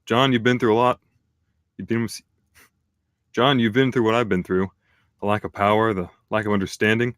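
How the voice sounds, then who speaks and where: A man's voice: fairly low pitch, steady tone, moderate pace, long pauses, a bit bright, dry recording, clean studio sound, close to an everyday voice. A man playing an earnest, nervous, uncertain foot soldier at a screenplay table read. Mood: earnest, troubled.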